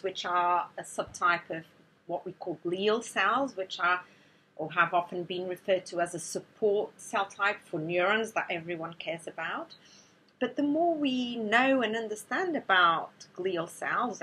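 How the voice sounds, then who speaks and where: A woman from Portugal, giving a measured, explanatory talk to students as a neuroscientist. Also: mid-range voice, bright, forceful tone, husky, rough recording. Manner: measured, explanatory, with a few restarts.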